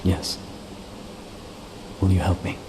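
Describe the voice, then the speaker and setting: An actor playing a grieving holy warrior in a fantasy game, speaking in an earnest, grave and formal manner. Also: male voice, slow, close to an everyday voice. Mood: sorrowful. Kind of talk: dramatic video game acting. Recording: clear steady background hiss.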